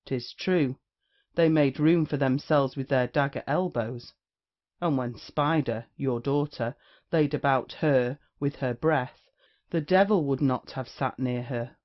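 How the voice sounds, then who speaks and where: A muffled, lo-fi audio play recording of a period comedy. A man acts a witty, shameless rake of middling pitch, who declaims his scorn with theatrical mockery. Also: unhurried, lightly acted. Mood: mocking. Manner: declamatory, mocking. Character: brazen debt-dodging Restoration rake, witty and insolent.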